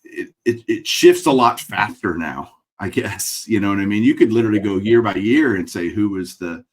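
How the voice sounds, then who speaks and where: A middle-aged man with a Texas accent and a very low voice, speaking over a webcam from a room lined with framed memorabilia. He talks in a relaxed way, with dry jokes, and thinks out loud as he answers. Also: moderate pace. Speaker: guest collector. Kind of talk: casual interview over video call. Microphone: webcam video call.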